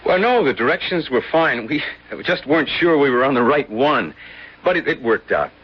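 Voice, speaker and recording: An actor playing a skeptical, easygoing husband in a radio drama, with a breezy, slangy, impatient delivery. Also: male voice, mid-range voice, very animated, moderate pace, husky. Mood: genial. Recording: muffled, noisy old radio recording.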